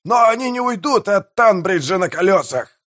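A man's voice: high voice, lively intonation, normal speed, a bit bright, no room sound, studio quality, pitched above the performer's own voice. A man voicing a boastful, greedy pirate mayor in an audiobook, blustering and commanding in a theatrical way. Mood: gleeful, scheming.

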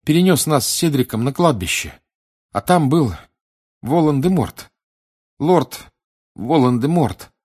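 A man voicing a frightened, agitated teenage boy wizard in an audiobook, speaking in urgent, halting exclamations. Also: fairly high pitch, animated, a little mellow, slightly rough, pitched above the performer's own voice. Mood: shaken. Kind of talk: audiobook character acting.

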